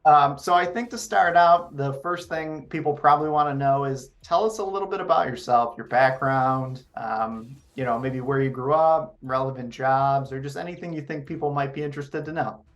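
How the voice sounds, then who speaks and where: A man's voice: medium pitch, moderate pace, hardly any pauses, fairly bright tone, lo-fi sound. A man hosting an interview, asking questions in a friendly, hesitant way with lots of fillers. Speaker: interviewer, channel host.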